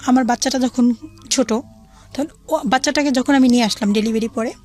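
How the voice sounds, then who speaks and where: Woman, Bangladeshi accent, fairly high voice, personal storytelling, long detailed run-on sentences, soft music behind. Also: fairly quick. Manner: recounts in long run-on detail, quoting dialogue. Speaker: guest telling own life story.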